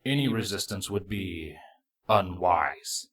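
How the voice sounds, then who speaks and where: A man's voice: low voice, expressive, slow pace, fairly bright tone, voice pushed down, heavily acted. A man voicing a cold, commanding insectoid changeling captain in a fan fiction audiobook, speaking formally and with menace. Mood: cold, authoritative.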